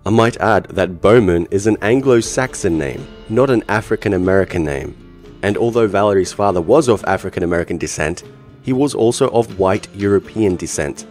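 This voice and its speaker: A man reading scripted voice-over narration in a flat, assertive way. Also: fairly low pitch, normal speed, faint music behind.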